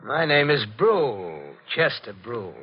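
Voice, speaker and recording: An actor playing a shady, menacing blackmailer in 1940s radio style, with a folksy, insinuating way of talking. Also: male voice, medium pitch, sing-song, unhurried, husky. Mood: sly, casual. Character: shady, menacing male blackmailer, ex-asylum worker, sly and casual. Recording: muffled, noisy 1940s radio recording.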